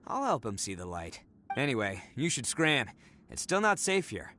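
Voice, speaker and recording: A man's voice: medium pitch, wildly swooping pitch, normal speed, background noise. A man voicing a brash, boastful but good-hearted young oni brawler in an anime game, with a cocky, theatrical manner. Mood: earnest.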